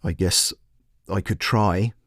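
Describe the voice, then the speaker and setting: A man's voice: low voice, steady tone, slow, fairly bright tone, dry recording, clean studio sound, pitched below the performer's own voice, full character voice. A man voicing a defensive, bigoted radio caller in his forties, blunt and grumbling. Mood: grudging.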